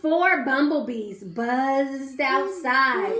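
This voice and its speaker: A woman's voice: sits high, expressive, extremely slow, bright, forceful tone. A woman teacher reading a picture book aloud to children, coaxing them to count along and asking them questions. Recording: roomy echo.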